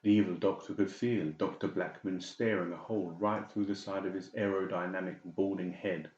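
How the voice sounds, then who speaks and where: Deep-voiced man narrating a dark audiobook. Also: fairly even intonation, normal speed, echoey room, highs cut off, a little hiss or hum. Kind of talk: dramatic scripted narration.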